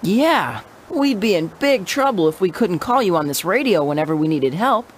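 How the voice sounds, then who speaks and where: A woman's voice: lowish voice, lively intonation, normal speed, a little hiss or hum, close to an everyday voice. A woman voicing an easygoing, wry young kid adventurer in a children's educational game. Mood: easygoing, determined.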